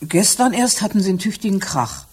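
An actress playing a shaken, upset housekeeper who speaks haltingly in a German radio crime drama. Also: female voice, mid-range voice, expressive, darkish tone, breathy edge. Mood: troubled, upset. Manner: halting, upset, repeats herself. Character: distraught, shaken housekeeper who found her employer dead.

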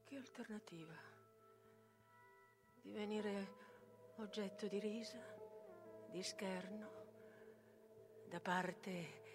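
A high-voiced, vengeful mother of Greek tragedy, proud and tormented. She declaims solemnly and deliberately, as in a theatrical radio reading. Music plays clearly behind her. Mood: hushed, anguished. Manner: declamatory, solemn, swinging from hushed whisper to fierce force. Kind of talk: theatrical tragic dramatic reading.

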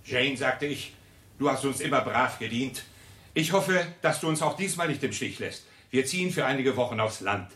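A man's voice: mid-range voice, animated, normal speed, fairly bright tone, reverberant, lightly acted. A man playing a stern, patronising master of a household in a radio play, giving clipped orders. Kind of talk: 1960s radio play acting.

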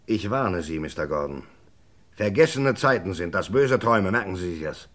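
A man's voice: low voice, animated, normal speed. An actor playing a brusque, menacing tough man in an old crime radio drama, with clipped delivery. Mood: menacing, indignant. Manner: clipped, snappy. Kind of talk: 1960s German crime radio drama acting.

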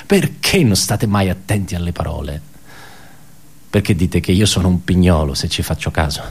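An actor playing an embittered, pedantic male office worker in a radio theatre monologue, halting and repeating his words as he puts rhetorical questions. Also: low voice, animated, breathy edge, exaggerated voice. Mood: accusing, anguished.

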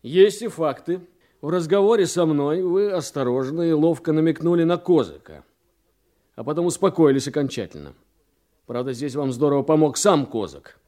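An actor playing a calm, methodical investigator in a radio drama, explaining things in a measured, confident manner. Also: male voice, on the high side, natural voice. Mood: confident, explanatory. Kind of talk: Russian radio drama acting.

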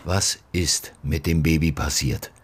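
A man's voice: a bass voice, fairly even intonation, a little slow, breathy edge, heavily acted. An actor playing a blunt, sardonic male police detective in a radio drama, weary but pressing with pointed questions. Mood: insistent. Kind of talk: German radio drama acting, naturalistic dialogue. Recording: music behind the dialogue.

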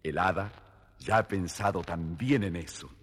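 A man's voice: deep voice, a little slow, a bit bright, breathy edge. A man narrating a scripted fairy tale expressively for young listeners. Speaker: storyteller, narrator. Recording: vintage story record with music behind.

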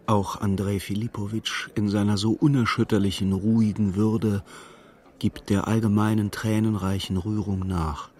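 An actor narrating a dark literary radio play as a solemn, measured storyteller. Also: male voice, on the low side, little pitch movement, breathy edge.

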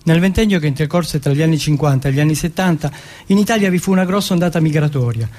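A man from Turin performing a narrative theatrical monologue, reciting deliberately phrase by phrase. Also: fairly high pitch, darkish tone, a little hiss or hum. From Turin, Italy.

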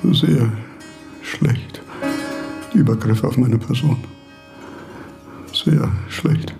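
An actor playing a frail, confused old philosopher, halting and repeating himself in a radio drama. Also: male voice, mid-range voice. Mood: aggrieved, halting. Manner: halting, repeating words, agitated.

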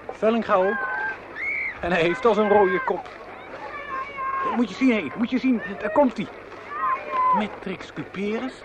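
An eager, mocking young lad in a radio drama. His voice is high and he gossips scornfully. Clear noise sits behind him on the recording. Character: chatty, mocking young male skater friend. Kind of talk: radio play acting. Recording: noisy outdoor-scene radio play recording.

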